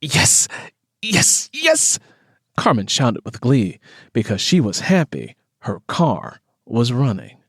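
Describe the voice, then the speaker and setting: A man's voice: medium pitch, sing-song, unhurried, no echo, studio quality. A man reading scripted fiction as an audiobook narrator.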